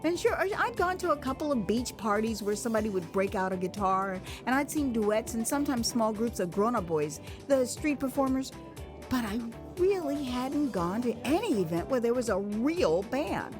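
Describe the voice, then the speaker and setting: Female American storyteller for first-person audio memoir, higher register, theatrical and expressive, clearly scored with music. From Texas, United States. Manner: expressive, theatrical delivery with sighs and pauses. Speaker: author narrating her own memoir. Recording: music behind the voice.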